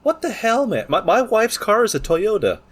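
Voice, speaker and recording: A man's voice: high voice, big pitch swings, normal speed. A man co-hosting a podcast in casual chat, joking and swearing freely as he talks. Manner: profane, joking banter. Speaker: podcast co-host.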